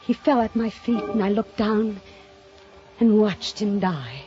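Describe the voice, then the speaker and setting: A woman's voice: mid-range voice, animated, natural voice. Actress playing an intense, obsessive woman in radio mystery. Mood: tense, grim. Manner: dramatic, intense, deliberate delivery. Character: obsessive, jealous woman mystery novelist turned killer, narrating. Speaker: lead actress and narrator. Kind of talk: 1950s radio mystery acting. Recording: dull old radio recording, music behind.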